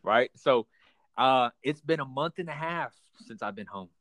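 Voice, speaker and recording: A man's voice: medium pitch, expressive, fairly bright tone, no echo. Earnest man sharing his story, dry sound. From United States, raised in Houston, Texas. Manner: earnest, halting with many fillers and restarts. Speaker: guest. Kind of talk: personal testimony in an interview.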